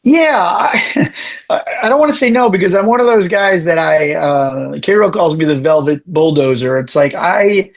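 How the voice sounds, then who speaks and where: A man with a raspy voice talks as a podcast guest, and his pitch sits a little higher than most men's. He is animated and talks in quick bursts, often restarting his sentences. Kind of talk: casual interview chat.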